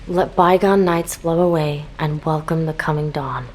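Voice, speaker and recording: A woman's voice: deep voice, steady tone, normal speed, a little mellow, slight background noise, lightly acted, poor audio. A woman playing an earnest, self-blaming young soldier in a video game, speaking solemnly and respectfully. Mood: grateful, calm.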